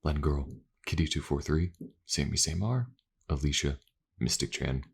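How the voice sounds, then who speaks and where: Man, low voice, gravelly edge, casual channel host, chatty and rambling. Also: normal speed. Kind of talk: casual outro to listeners.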